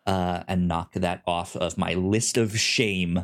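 A man co-hosting a movie podcast, talking casually with a halting, stammering delivery that often repeats words. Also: low voice, steady tone. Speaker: podcast co-host. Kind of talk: casual chat pitching films on a movie podcast.

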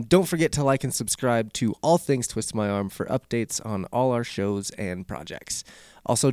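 A man's voice: medium pitch, darkish tone, clear, resonant tone, dry recording, studio quality. A man hosting a podcast, rambling casually with restarts and the odd swear word. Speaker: podcast host.

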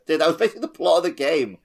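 A man's voice: a little high, vocal fry. A man co-hosting a podcast in casual gaming chat, joking and laughing easily as he talks. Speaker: podcast co-host.

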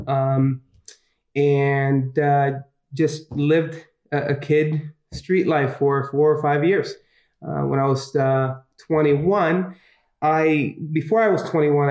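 A middle-aged man from Puerto Rico, a guest on a podcast, telling his story slowly with many pauses and fillers. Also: medium pitch, a little mellow. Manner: hesitant, many uh fillers, reflective. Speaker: guest, business owner. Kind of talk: interview storytelling. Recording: echoey room.

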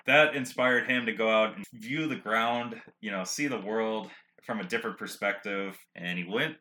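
Male tabletop player, lowish pitch with a bright, forceful edge, casual explanatory delivery. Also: normal speed. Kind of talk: casual explaining of his character and moves.

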